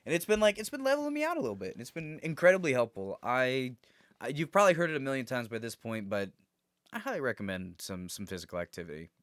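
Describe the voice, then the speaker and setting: A man's voice: mid-range voice, very animated, fast talker, a bit bright, no room sound, clean studio sound. A man co-hosting a casual podcast, chatting loosely, swearing and laughing often. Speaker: podcast co-host. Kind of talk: casual chat.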